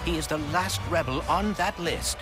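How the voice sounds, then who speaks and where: A male actor plays an earnest companion hero in a video game. His voice sits a little high, with clear music underneath. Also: moderate pace, lightly acted. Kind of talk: video game cutscene voice acting. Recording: music over the voice.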